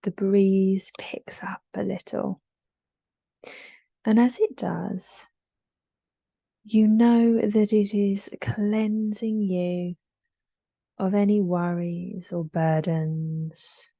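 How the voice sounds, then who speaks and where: Soft soothing lady doing a guided meditation, super slow w long pauses, really dry close sound. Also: medium pitch, steady tone, gravelly, no echo. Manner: soothing, unhurried, with long pauses between short phrases.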